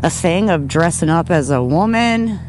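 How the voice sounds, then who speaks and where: A woman from upstate New York telling a family story casually while riding, hesitant and unhurried, with fillers, restarts and the odd swear. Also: lowish voice, expressive. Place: outdoors on a motorcycle in traffic. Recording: loud road and engine noise.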